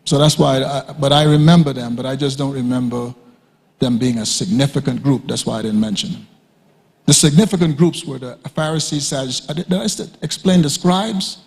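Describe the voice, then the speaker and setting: A man teaching a sermon at a conference, emphatic and repetitive, often leaping high to act out quoted speakers. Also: fairly quick, vocal fry, a little mellow. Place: church hall. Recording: reverberant hall.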